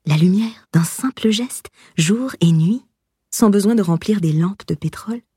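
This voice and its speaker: Woman, audiobook narrator, very husky and breathy, mellow dark timbre, measured expressive reading, scripted memoir narration. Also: mid-range voice, no echo, studio quality.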